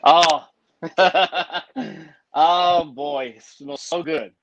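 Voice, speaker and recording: A man's voice: on the high side, steady tone, extremely slow, fairly bright tone, dull audio, slight background noise. A man raised in Puerto Rico, cooking in his home kitchen during a live video-call stream, chatting with many fillers and laughing often. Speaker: cooking show guest chef.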